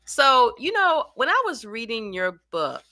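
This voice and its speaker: Bright, unhurried woman interviewer. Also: a little high, very animated. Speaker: show host, interviewer. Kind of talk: interview hosting.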